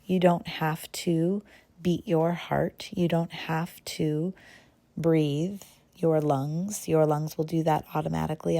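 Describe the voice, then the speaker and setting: A woman hosts a guided visualization in a low-set, mellow voice with plenty of creak at the edges. She moves gently through short phrases, leaving pauses between them. The delivery is that of soothing meditation narration.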